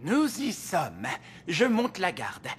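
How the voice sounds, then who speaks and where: A man's voice: high voice, wildly swooping pitch, slow, full character voice. A man voicing a loyal, watchful talking reindeer in a cartoon, solemn and cautious, with long reverb. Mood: watchful, cautioning. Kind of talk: animated cartoon acting. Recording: long reverb, music behind.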